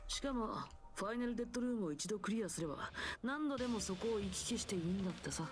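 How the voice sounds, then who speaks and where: Woman voicing a very fast-talking young male student. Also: medium pitch, expressive, lightly acted. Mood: playful, explaining. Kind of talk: Japanese video game voice acting. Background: game music.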